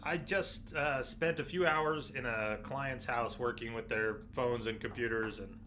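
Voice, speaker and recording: A hoarse man with a sore, scratchy throat, chatting casually through a live game stream and clearing his throat often. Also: mid-range voice, unhurried, pushed and bright. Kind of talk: casual live gameplay commentary.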